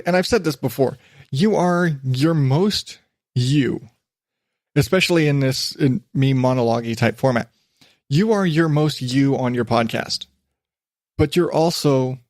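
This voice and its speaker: Chatty man with a higher-pitched podcast voice. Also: no echo, studio quality. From western United States. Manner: chatty, self-deprecating, digressive. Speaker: podcast host. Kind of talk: rambling solo podcast monologue. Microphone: studio microphone.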